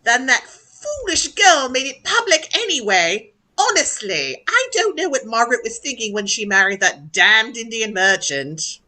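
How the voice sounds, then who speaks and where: A woman's voice: fairly high pitch, sing-song, a little slow, bright, forceful tone, exaggerated voice. A woman playing a haughty, stern aristocratic aunt in a radio play, imperious and blunt. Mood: scornful.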